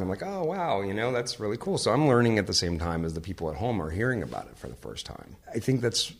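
A man with a deep voice speaks in a loose, conversational way. He sounds relaxed and talkative, often breaking into easy laughter as he tells his story. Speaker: podcast host and venue sound manager, interview guest. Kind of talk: casual interview conversation.

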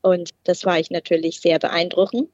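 A woman's voice: lowish voice, little pitch movement, normal speed, a little mellow, dry recording. Middle-aged woman, interview guest; hesitant and full of fillers, dry close sound, slightly muffled. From Thailand. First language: Thai. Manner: hesitant, many fillers and restarts. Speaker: guest, port process manager. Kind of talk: interview answers.

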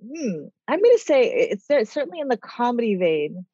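A woman's voice: fairly high pitch, very animated, moderate pace, warm tone, husky, no room sound, dull audio. A woman guest in a casual interview, talking in a rambling way with a nasal voice. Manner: rambling, chatty. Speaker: author and executive guest.

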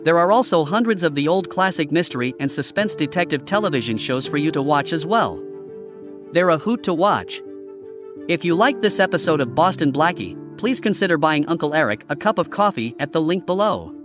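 A man's voice: a little high, expressive, a little fast. A man hosting a classic radio podcast, reading a scripted introduction and promo. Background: loud music.

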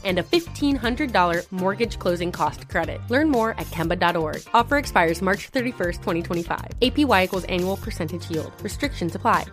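A woman reads a radio-style bank ad in a polished, brisk voice and rattles off the disclaimers at the end. Faint music sits underneath her. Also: mid-range voice, a bit bright. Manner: brisk commercial read with fast disclaimers. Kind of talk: bank ad voice-over.

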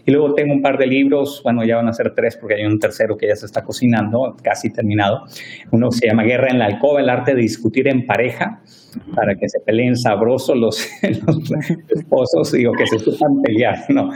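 A man's voice: mid-range voice, brisk. Male priest, easygoing and jokey, video-call audio. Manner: relaxed, jokey, laughs while talking.

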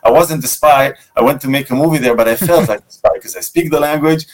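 Animated man with an Israeli accent. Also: on the high side, rapid-fire, poor audio. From born in Russia, grew up in Israel. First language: Russian. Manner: animated, rambling, many restarts and repeats. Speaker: TV director and co-creator. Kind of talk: remote video interview.

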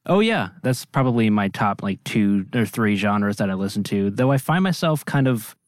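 A man's voice: on the low side, sing-song, normal speed, dry recording, clean studio sound. A man chatting casually as a member of a podcast team. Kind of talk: casual chat.

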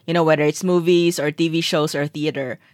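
A woman's voice: low-pitched, fairly even intonation, a little fast, a little mellow, dry recording, studio quality. A woman in her thirties from the Philippines, chatting casually as a co-host and laughing often. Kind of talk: casual chat.

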